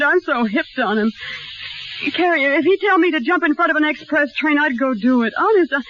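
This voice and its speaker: An actress playing a young carnival snake charmer in love, slangy, emotional and rough-edged in grammar, in 1930s radio style. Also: female voice, high-pitched. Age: young adult. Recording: noisy, muffled 1930s radio recording.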